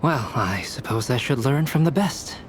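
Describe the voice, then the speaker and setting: A man voicing a calm, reserved young alchemist in a video game, soft-spoken, polite and reflective. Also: mid-range voice, normal speed, a little hiss or hum, close to an everyday voice. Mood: calm, amused.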